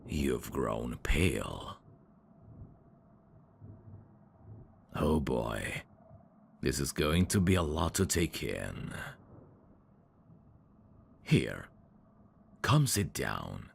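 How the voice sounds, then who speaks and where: A man voicing a sly demon servant in an ASMR audio drama, courtly and theatrical with irreverent, sardonic asides and a very deep, gravelly voice. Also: very animated, extremely slow, long pauses, a little hiss or hum, heavily acted. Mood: concerned.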